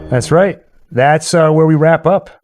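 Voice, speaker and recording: A man's voice: a little high, a little slow, over music. A man hosting a livestream, chatting casually and recapping stories in a playful way. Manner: playful, joking. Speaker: livestream host. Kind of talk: casual chat and story recapping.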